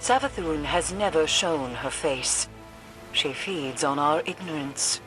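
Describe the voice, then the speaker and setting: A woman playing a stern, cryptic mystic in a video game, with grave, formal, deliberate phrasing. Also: deep voice, animated, music in the background, low-quality recording. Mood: dry, disdainful.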